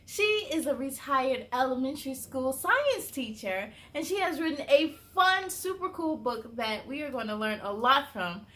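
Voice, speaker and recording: A woman's voice: sits high, animated, normal speed, few pauses, a bit bright. A woman hosting a children's story time, reading aloud expressively with a sing-song delivery and chatty asides to young listeners.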